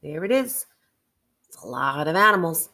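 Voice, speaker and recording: A woman reading a picture book aloud to children in an expressive way, stretching words for emphasis and adding chatty asides and questions to the listeners. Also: low voice, normal speed.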